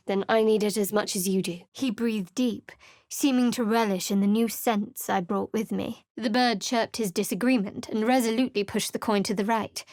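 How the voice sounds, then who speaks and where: A woman's voice: fairly high pitch, darkish tone, dry recording. A woman voicing a guarded, sardonic young woman cleric in a studio game, speaking in a dry, curt, secretive way. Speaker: game character.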